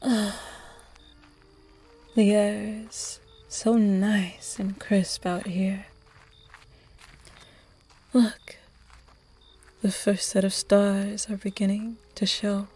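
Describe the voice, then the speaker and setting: A woman voicing a charming, flirtatious female vampire at a masked ball in an audio roleplay, speaking in soft, seductive whispers with a breathy voice. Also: medium pitch, little pitch movement, drawn-out words, pauses often. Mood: dreamy.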